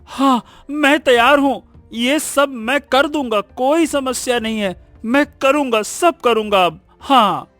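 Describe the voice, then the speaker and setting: Man voicing a high-pitched earnest village boy. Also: takes it slowly, a bit bright, no echo, soft background music, exaggerated voice. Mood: eager. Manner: earnest, emphatic, humble. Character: earnest, repentant village schoolboy, humble and grateful. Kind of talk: animated moral story dubbing.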